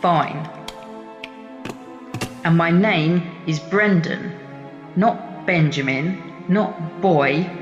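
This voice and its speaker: A man's voice: fairly high pitch, extremely slow, long pauses. An actor playing a worried, plain-spoken young male prisoner with a plaintive, protesting manner, in an audio drama. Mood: indignant. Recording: muffled, echoey reverberant chamber, music behind.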